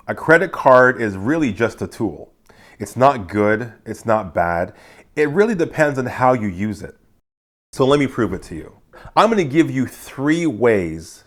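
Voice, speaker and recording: Congested nasal man hosting a finance vlog. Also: fairly low pitch. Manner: chatty, upbeat and encouraging. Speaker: personal finance channel host. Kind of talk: casual direct-to-camera talk.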